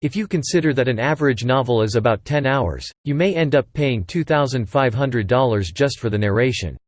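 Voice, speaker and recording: A man's voice: medium pitch, expressive, a little fast, no echo. A synthetic text-to-speech male voice with an American accent, reading a scripted promotional dialogue. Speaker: promotional narrator.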